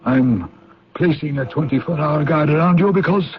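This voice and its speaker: An actor playing a senior British intelligence chief in a radio drama, formal and authoritative, pausing as he gives orders. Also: a little high, a little hiss or hum, close to an everyday voice. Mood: formal, solicitous. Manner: formal, hesitant pauses, authoritative. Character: senior British intelligence chief, courteous but commanding knight. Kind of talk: old-time radio drama acting. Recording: muffled radio drama recording.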